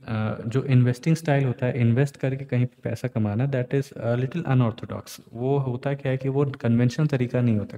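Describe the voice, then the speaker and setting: A man's voice: medium pitch, dark tone. Man explaining charts in a reverberant room. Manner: explanatory, mixes English phrases into Hindi. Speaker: astrologer. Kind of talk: screen-recorded chart analysis. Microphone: voice-over on screen recording.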